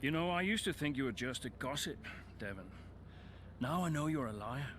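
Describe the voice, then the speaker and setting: An actor playing an older, weary but earnest racing driver in a video game cutscene, speaking in a low-key, sincere way. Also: male voice, lively intonation, normal speed, a bit bright, a little hiss or hum, natural voice. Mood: cold, confronting.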